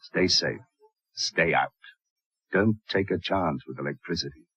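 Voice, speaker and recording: A man with a very raspy voice giving a stern, scripted safety warning. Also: sits low, a little slow, sharp tone, no echo, dull audio. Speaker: public safety announcer. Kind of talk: stern scripted slogan voice-over.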